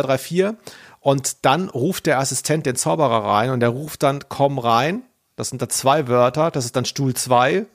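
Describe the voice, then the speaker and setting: Male podcast host, medium pitch, informal talk, rambling self-deprecating storyteller. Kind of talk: casual podcast chat, personal anecdote.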